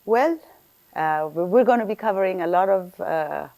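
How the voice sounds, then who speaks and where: A woman's voice: on the low side, lively intonation, moderate pace, gravelly, warm tone, dry recording. A woman hosting a talk show interview, asking questions in a friendly way with short encouraging replies. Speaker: host.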